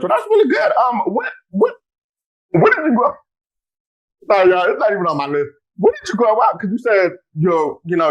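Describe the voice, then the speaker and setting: Guy hosting a chill podcast, voice jumps way up and down, loud exclaims. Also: a little high, lo-fi sound. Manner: animated, exclaims loudly, talks straight to listeners. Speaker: podcast host. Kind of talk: casual podcast chat.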